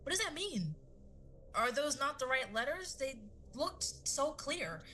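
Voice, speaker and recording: A woman's voice: sits high, big pitch swings, a little slow, pushed and bright, exaggerated voice. An actress playing a bewildered, earnest young woman in an audio drama.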